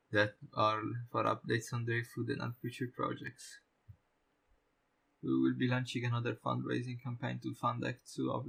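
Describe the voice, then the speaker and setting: A man's voice: medium pitch, gravelly, fairly bright tone, slightly rough, poor audio. A man hosting a let's-play of a game, talking casually and swearing now and then. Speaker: gaming YouTuber, let's-play host. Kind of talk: casual let's-play commentary with on-screen text read aloud.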